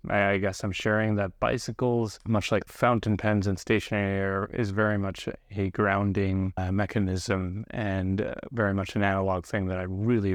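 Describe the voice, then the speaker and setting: Low-pitched, even-toned man, reflective. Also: dry recording, clean studio sound. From grew up partly in South Korea, living in Canada. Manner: unhurried, reflective, pausing mid-sentence. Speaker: stationery video host. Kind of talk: reflective casual monologue to viewers.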